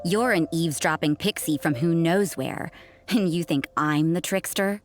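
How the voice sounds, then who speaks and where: An actress playing a cool, cynical adult nun in a video game, talking in a dry, aloof and sarcastic way. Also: female voice, on the low side, moderate pace, faint music behind, close to an everyday voice. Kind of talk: video game cutscene dialogue.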